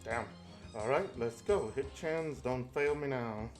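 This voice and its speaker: Male tabletop player, medium pitch, playful rambling, casual chat, faint music behind. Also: measured pace. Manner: playful, rambling, thinks aloud.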